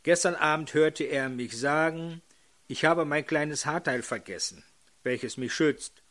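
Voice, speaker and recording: An older man preaching a sermon in a hoarse voice, putting questions to his congregation. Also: fairly high pitch, measured pace, a bit bright.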